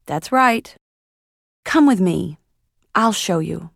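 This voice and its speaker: A man reading a storybook aloud and voicing a kind, gentle window washer woman who explains things patiently. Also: high-pitched, lively intonation, slow, vocal fry, dry recording, clean studio sound, pitched above the performer's own voice, heavily acted.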